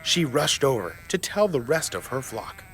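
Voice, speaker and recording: Animated male storybook narrator. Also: mid-range voice, normal speed, a little hiss or hum. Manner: expressive storytelling.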